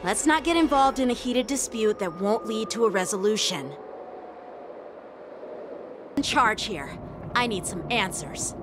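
A woman's voice: a little high, animated, measured pace, long pauses, background noise. A woman playing an earnest, dutiful young boy lieutenant in a video game, polite and composed. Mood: stern, questioning.

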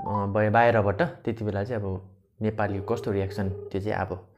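A man's voice: lowish voice, level tone, moderate pace, highs cut off, music in the background. A young man, chatting casually as a prank channel host. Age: young adult. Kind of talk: casual chat to camera. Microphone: lapel mic.

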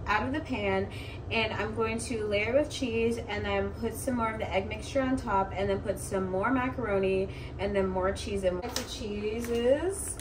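A woman in her twenties casually narrating a home cooking vlog, recorded in a kitchen from a few steps away. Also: mid-range voice. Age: 20s. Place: small home kitchen, indoors. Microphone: camera or phone a few steps away. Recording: distant mic, noisy kitchen ambience.

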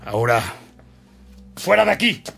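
A man's voice: high-pitched, sing-song, normal speed, gravelly, a bit bright, soft background music, full character voice. A man acting a ruthless, menacing commander with a Mexican accent in a radio drama, barking orders with contempt. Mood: angry, shouting.

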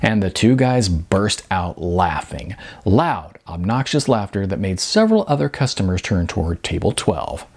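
Husky, expressive middle-aged male storyteller. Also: low-pitched, normal speed. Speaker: author reading his own novel. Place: small furnished room indoors.

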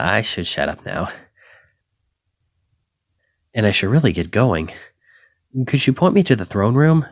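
A man's voice: low voice, dry recording. A man acting a shy, flustered, self-doubting young knight in an ASMR roleplay, stammering and hesitant as he apologizes. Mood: embarrassed, awkward.

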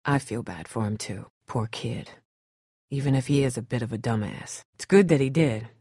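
A woman's voice: rumbling low, moderate pace, mellow, slightly rough, no echo, studio quality. A woman playing a tough, blunt but caring survivor of an apocalypse in a dramatic video game. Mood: earnest, exasperated. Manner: blunt, urgent, no-nonsense.

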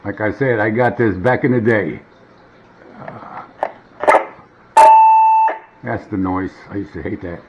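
A man's voice: fairly low pitch, takes it slowly, long pauses. A middle-aged man casually showing a gadget in a rambling, muttering way, recorded at a table at home. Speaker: vintage gadget demonstrator. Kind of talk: casual hands-on demonstration. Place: indoors at a wooden table at home. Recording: dull, noisy home camera audio.

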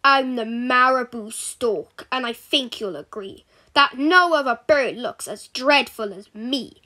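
A woman's voice: a little high, unhurried, sharp tone. A woman voicing a grouchy, hunched old stork who speaks in rhyme. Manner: grumbling, rhyming.

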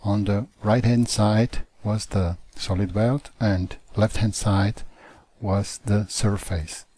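A man who does not speak natively, teaching CAD software in a halting, careful way over a screen recording. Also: lowish voice, slightly rough, dry recording. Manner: slow, halting phrasing with non-native grammar.

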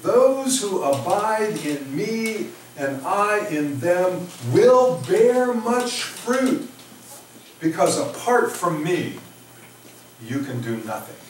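A middle-aged man from Oklahoma with an American accent, preaching an informal sermon in a halting, reflective way with emphatic pauses. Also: high-pitched, very animated. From Oklahoma, United States. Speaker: pastor preaching. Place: small church sanctuary, indoors. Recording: echoey church, distant microphone, faint noise.

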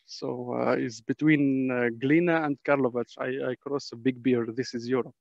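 A man who is a non-native English speaker gives informal testimony as a panel speaker, haltingly searching for words in broken English on a muffled video call. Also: medium pitch, fairly even intonation, creaky, darkish tone, dry recording. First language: not English. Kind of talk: informal spoken testimony in a webinar. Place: on a video call from a dim space.

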